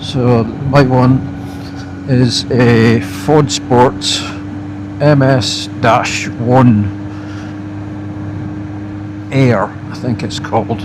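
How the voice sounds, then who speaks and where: A Scottish man giving a casual, unscripted product review as a motorbike vlogger, speaking haltingly in short phrases while riding outdoors. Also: medium pitch, steady tone. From Scotland. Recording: loud motorbike wind and engine noise.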